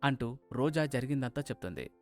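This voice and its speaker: A man's voice: mid-range voice, expressive, brisk, no echo, faint music behind. A man reading scripted narration for a moral story as its narrator.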